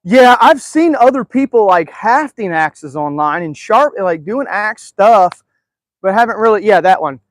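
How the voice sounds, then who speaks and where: A man with a fairly high voice talks casually to his viewers from outside in his yard. He rambles in an easygoing way, with plenty of filler words. His voice sounds dry and close, with no room echo. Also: animated, measured pace. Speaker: live stream host. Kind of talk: casual live-stream chat. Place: outdoors in a backyard.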